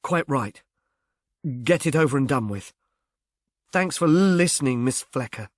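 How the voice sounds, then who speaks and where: A man voicing a shy, bewildered young man with a halting, slightly stammering delivery in a mystery audiobook. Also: medium pitch, animated, no room sound, clean studio sound, natural voice. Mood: self-conscious. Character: bashful, bewildered young man, haplessly miserable. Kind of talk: audiobook character voice, British mystery novel.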